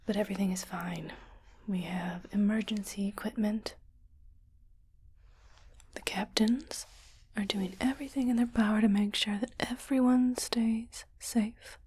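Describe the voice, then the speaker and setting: A woman playing a calm, reassuring sci-fi doctor in a hushed, soothing whispered ASMR roleplay. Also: mid-range voice, breathy edge. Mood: soothing explanation. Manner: slow, soothing, hushed delivery. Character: calm, reassuring female doctor on an alien-planet expedition.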